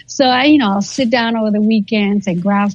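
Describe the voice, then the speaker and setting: A woman's voice: medium pitch, brisk, dull audio, a little hiss or hum. A woman in her forties whose first language is Spanish, a guest chatting casually in an interview, often repeating words as she thinks and laughing. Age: 40s.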